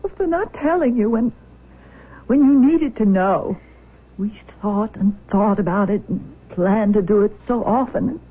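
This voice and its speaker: An actress playing an anguished, tender older adoptive mother in a 1970s radio drama, halting and repeating her words. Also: medium pitch, lightly acted. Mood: pleading. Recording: dull lo-fi old radio broadcast.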